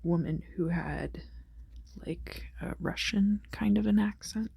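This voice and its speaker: Female ASMR tarot host, low-pitched and slow, whispery delivery, soothing casual chat. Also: vocal fry, husky, dry recording. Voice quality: whispery, soft. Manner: soothing, unhurried.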